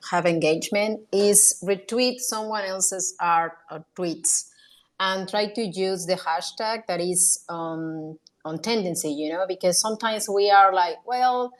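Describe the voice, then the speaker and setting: A woman's voice: mid-range voice, fairly bright tone. A woman speaking as a community guest, giving informal advice in an earnest, encouraging way.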